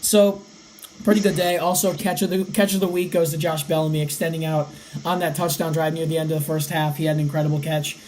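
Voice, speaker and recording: A young man, around eighteen, hosts a casual sports podcast with a voice left slightly raspy from cheering. He runs through game stats at an easy clip. A little faint noise sits under the recording. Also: a little high, flat delivery, fast, darkish tone, rough recording. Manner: rattles off stats. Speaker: podcast host.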